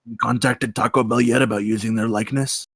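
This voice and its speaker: A man playing a vain, insecure Bigfoot with a raspy, gravelly voice in a comedic table read. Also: medium pitch, steady tone, fairly quick, fairly bright tone. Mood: defensive, indignant. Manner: needy, dramatic, swinging from sulky to indignant. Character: vain, insecure, excitable male Bigfoot, amateur movie star.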